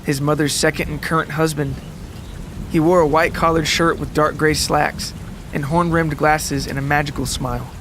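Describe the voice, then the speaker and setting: A man reading a scripted literary story as a dramatic narrator, with deliberate, descriptive phrasing. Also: on the high side, background noise. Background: music and rain sounds.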